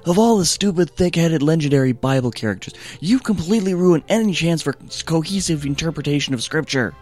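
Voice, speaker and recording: A man voicing the cranky ghost of an old prophet, scolding in a shrill, exasperated voice. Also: lively intonation, brisk, darkish tone, soft background music.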